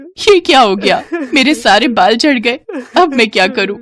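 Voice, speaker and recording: A woman's voice: a little high, lively intonation, normal speed, a bit bright, pitched above the performer's own voice. A woman voicing a meek, mistreated young woman who pleads sorrowfully, for a cartoon moral story. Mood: hurt, distressed. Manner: plaintive, pleading.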